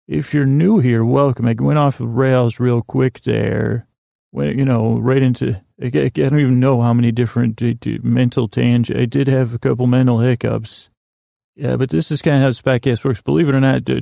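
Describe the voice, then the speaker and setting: A man with a heavily creaky, gravelly voice rambles through a solo podcast monologue. His delivery is flat and mellow, full of stammered restarts and digressions. The sound is close and dry. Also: medium pitch, no room sound. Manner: hesitant, stammering, self-interrupting, digressive. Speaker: podcast host.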